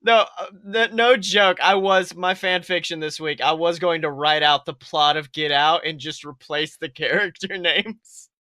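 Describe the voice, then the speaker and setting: Young male podcast co-host: very bright, forceful high voice, profane comedic riffing, casual delivery. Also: a little slow, hardly any pauses, no echo.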